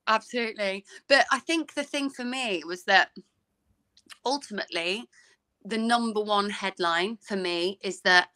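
A woman's voice: mid-range voice, a little slow, bright, forceful tone. A young woman, an actress guest, talking earnestly about playing her role over a video call. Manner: thoughtful, earnest, some hesitant restarts. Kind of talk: casual interview chat.

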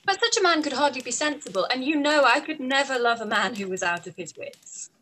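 This witty young gentlewoman acted by a woman has a bright, forward voice with very lively pitch. She is arch and frank in period-drama style, over a slightly noisy video call. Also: a little fast. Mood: wry. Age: young adult. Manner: arch, playful. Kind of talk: play read-through, period drama. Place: indoor room by a window, over video call.

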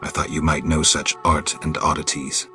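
An actor playing a stoic monster hunter in a fantasy game, with a dry, terse, deadpan delivery. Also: male voice, a bass voice, normal speed, soft background music, heavily acted. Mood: level, businesslike.

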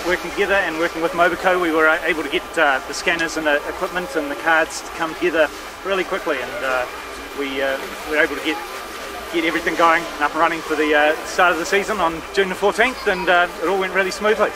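A man's voice: fairly high pitch, fairly even intonation, fast. A man with a New Zealand accent, an IT manager, answering in an easygoing way outdoors at a ski field. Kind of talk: interview answers. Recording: distant voice, outdoor noise.

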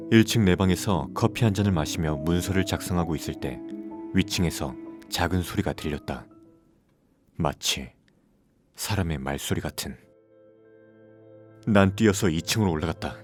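A man's voice: deep voice, moderate pace, long pauses, over music, close to an everyday voice. A man playing a troubled widowed father in his thirties who narrates a scripted horror story and acts out his lines. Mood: ominous, subdued.

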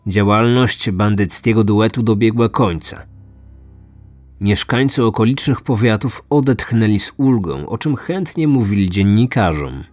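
A male true crime narrator with a fairly low voice. He reads a scripted story seriously and with measure, over faint music. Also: a little slow, darkish tone, dry recording. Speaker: narrator, host.

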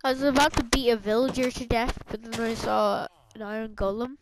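A woman's voice: on the high side, fairly even intonation, a little fast, noisy background. A young woman chatting casually with a friend while gaming, bossy and prone to swearing. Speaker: gamer. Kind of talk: casual gameplay chat with a friend.

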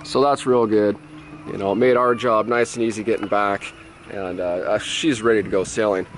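A man's voice: on the low side, moderate pace, a little hiss or hum. Easygoing man vlogging on his sailboat. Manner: relaxed, easygoing, frequent "uh" fillers. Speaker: sailing vlogger. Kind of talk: casual vlog talk to camera. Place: on a sailboat at a marina, cabin and deck. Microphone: handheld camera held at arm's length.

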